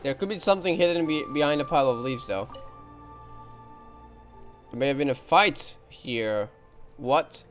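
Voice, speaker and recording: A man's voice: on the high side, moderate pace, pauses often. A man giving casual commentary as he plays a game, thinking aloud in short remarks. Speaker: game streamer. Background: game music.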